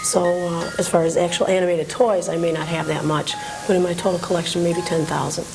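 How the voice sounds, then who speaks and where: A woman's voice: fairly low pitch, flat delivery, fairly quick, creaky, over music, low-quality recording. A woman speaking casually and conversationally as an interview guest. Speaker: interview guest, memorabilia collector. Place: public library.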